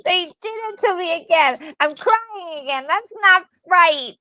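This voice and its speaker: A woman's voice: very high voice, lively intonation, a little slow, bright, forceful tone. A woman reacting casually and emotionally to a game, with exclamatory delivery. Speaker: gaming co-host. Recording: music behind the voice. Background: game music.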